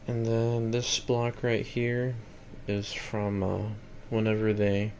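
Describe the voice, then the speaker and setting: A man's voice: on the low side, level tone, slow, darkish tone. A man giving a casual, matter-of-fact walkthrough of equipment he is selling, plain-spoken, in a noisy home-video recording. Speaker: seller demonstrating equipment.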